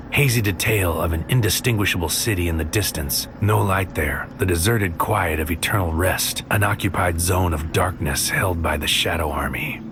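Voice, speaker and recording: A man's voice: low voice, background noise, lightly acted. An actor playing a brooding, obsessed psychic soldier who narrates his own story as an intense noir audio drama monologue. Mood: ominous, brooding. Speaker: narrator and lead character. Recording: music under the voice.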